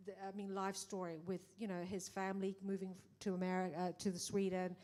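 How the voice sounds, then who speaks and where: A middle-aged woman producer speaking in a conversation, animated, acting out quoted exchanges, with many restarts. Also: medium pitch, quick pace. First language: English. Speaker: film producer. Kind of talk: panel conversation.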